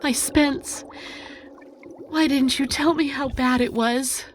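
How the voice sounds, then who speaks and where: A woman's voice: high voice, steady tone, slow pace, noisy background. An actress playing a concerned female scientist in a science-fiction audio drama, explaining earnestly and dramatically. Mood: distressed. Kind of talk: cinematic audio drama acting.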